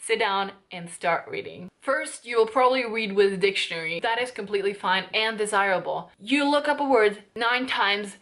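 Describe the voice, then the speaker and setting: A woman's voice: mid-range voice, a bit bright. Bubbly young woman vlogger. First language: Hungarian. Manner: bubbly, playful, chatty. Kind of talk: casual vlog to camera.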